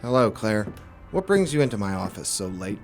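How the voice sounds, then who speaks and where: A man's voice: fairly low pitch, very animated, normal speed. A man playing a hard-boiled, world-weary 1940s private investigator in a noir radio drama, with a wry, brooding manner. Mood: wry, guarded. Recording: roomy, distant microphone, music underneath.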